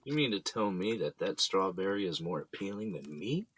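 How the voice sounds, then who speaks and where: A man's voice: low-pitched, expressive, normal speed, fairly bright tone, breathy edge, no echo, full character voice. A man playing an easygoing, affectionate husband and father, playful and coaxing, in a naturalistic audio drama.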